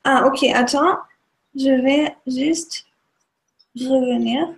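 German-accented lady explaining stuff on a stream, high voice, lots of ums. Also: very slow, vocal fry. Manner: hesitant, many fillers and restarts. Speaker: Maya calendar guest speaker. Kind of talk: explanatory talk on a livestream.

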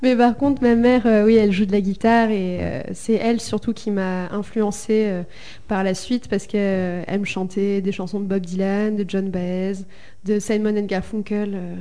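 A young woman singer answers radio interview questions with a mellow, dark-toned voice. She is hesitant, filling her answers with pauses and small fillers, and she laughs easily. She is from Germany and sounds relaxed at a middle pitch. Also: clear, resonant tone. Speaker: singer in a folk duo.

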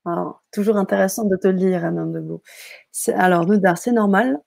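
A woman's voice: mid-range voice, moderate pace, gravelly, no room sound, clean studio sound. A woman hosting a live stream, reading out viewer comments with enthusiasm and frequent hesitations. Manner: warm, enthusiastic, hesitant filler-heavy reading. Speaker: host.

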